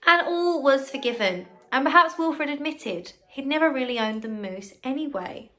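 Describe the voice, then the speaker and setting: A woman's voice: high voice, moderate pace. A woman reading a picture book aloud as a primary teacher, expressively, with chatty asides and questions to the children. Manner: expressive, encouraging, asks the listener questions. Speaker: primary school teacher reading a story to pupils.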